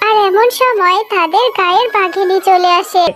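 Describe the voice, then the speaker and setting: A woman's voice: very high voice, normal speed, a bit bright. A woman reading scripted narration for a cartoon fairy tale.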